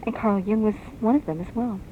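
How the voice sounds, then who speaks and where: A woman's voice: medium pitch, brisk. A woman hosting a radio interview, putting in brief questions and responses, recorded from a shortwave broadcast. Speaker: radio host. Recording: thin phone-like shortwave radio tape, noisy.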